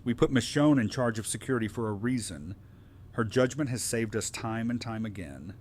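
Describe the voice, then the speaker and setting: A man's voice: mid-range voice, moderate pace, a little mellow, a little hiss or hum, natural voice. A man playing a sober, loyal and resolute council member in an audio drama. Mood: regretful, firm. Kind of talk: full-cast audio drama acting.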